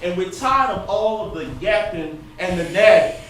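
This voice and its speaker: Lively high-voiced husband an actor, echoey stage. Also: male voice, moderate pace. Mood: exasperated. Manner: emphatic, informal, persuasive among buddies. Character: weary but lively husband, tired of nagging questions. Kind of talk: theatrical stage comedy-drama dialogue. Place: stage in a theater. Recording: distant echoey stage with room noise.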